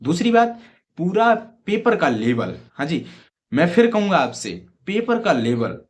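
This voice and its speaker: A man giving informal, emphatic advice to students as an online exam educator, repeating points and asking rhetorical questions. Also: medium pitch. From Uttar Pradesh, India. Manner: emphatic, repetitive, rhetorical questions, frequent check-in tags. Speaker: exam-prep YouTube educator.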